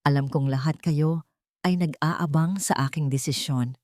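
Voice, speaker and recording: A defiant, protective male heir from an audio drama, acted out by a woman, speaks with firm, weighty calm. The voice sits low and sounds dry and close. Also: unhurried, no room sound.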